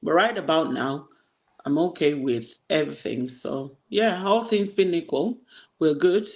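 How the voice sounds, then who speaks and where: A man on an online panel, speaking in a relaxed, agreeable and measured way. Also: fairly high pitch. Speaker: panel guest. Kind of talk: casual panel chat.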